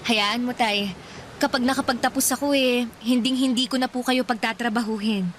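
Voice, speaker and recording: An actress playing a devoted young daughter, earnest and caring in a radio drama. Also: female voice, fairly high pitch, steady tone, moderate pace, a bit bright, a little hiss or hum, lightly acted. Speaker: voice actor.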